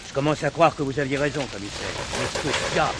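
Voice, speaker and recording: An actor playing a stern, sceptical gendarmerie captain, curt, formal and authoritative in a television drama. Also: male voice, medium pitch, fast, lightly acted. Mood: stern, reserved. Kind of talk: French television drama acting. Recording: clearly noisy background.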